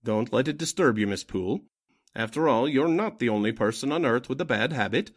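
A man's voice: mid-range voice, animated, fairly quick, lightly acted. Man playing a courteous, kindly visiting doctor. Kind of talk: 1940s radio acting.

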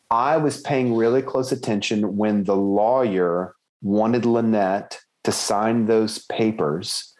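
A man co-hosting a casual book-club podcast, thinking aloud and hedging as he weighs theories with lively emphasis. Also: lowish voice, rough recording. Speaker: podcast co-host.

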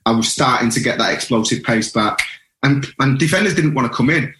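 Ex-footballer guest, rambling in a roomy room. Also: male voice, mid-range voice, fairly quick, fairly bright tone. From England. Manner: earnest, rambling reminiscing with restarts. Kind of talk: casual podcast chat.

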